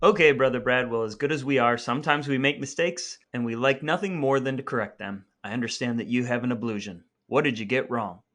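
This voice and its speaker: Bright-voiced man hosting a playful podcast. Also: mid-range voice, fairly quick, hardly any pauses. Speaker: podcast co-host. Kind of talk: conversational podcast talk with semi-scripted intro.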